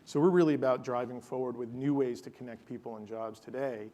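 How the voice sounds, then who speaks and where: A man with a mid-pitched voice speaks on a conference panel. He talks earnestly about his company's mission in polished corporate language. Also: fairly quick, warm tone, slight background noise. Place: conference stage.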